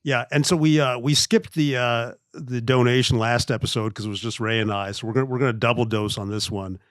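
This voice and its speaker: A male podcast host with a mid-pitched voice who talks in an easygoing, halting way and keeps restarting his phrases. The recording is close and dry with no room sound. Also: steady tone, fairly bright tone, dry recording. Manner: hesitant, agreeable, laughs easily, restarts phrases. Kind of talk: casual podcast chat. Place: podcast studio.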